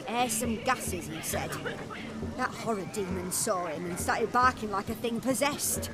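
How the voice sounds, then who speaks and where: A woman's voice: mid-range voice, measured pace, natural voice. An actress playing a chatty, exasperated village woman. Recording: game cutscene with loud music.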